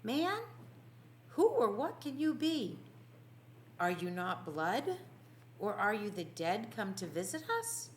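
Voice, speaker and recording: Woman plays alarmed male disciple, storybook drama, raised pitch, imploring exclamations, some background noise. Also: big pitch swings, takes it slowly, pauses often, roomy sound. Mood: alarmed bewilderment.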